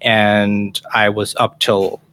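Low-voiced man, hesitant and thoughtful. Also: flat delivery, takes it slowly, a bit bright. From Malaysia. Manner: thoughtful, hesitant, frequent restarts. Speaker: professor of educational technology. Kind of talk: casual interview answers.

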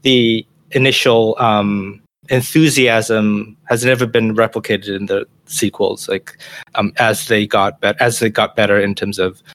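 Low-voiced man, hesitant and thoughtful. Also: level tone, measured pace, a bit bright. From Malaysia. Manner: thoughtful, hesitant, frequent restarts. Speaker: professor of educational technology. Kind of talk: casual interview answers.

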